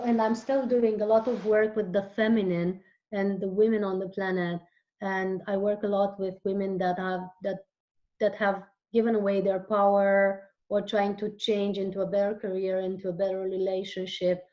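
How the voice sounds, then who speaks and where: A woman whose first language is Romanian, answering interview questions as a guest author in a slow, searching way with frequent restarts. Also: mid-range voice, darkish tone, muffled recording.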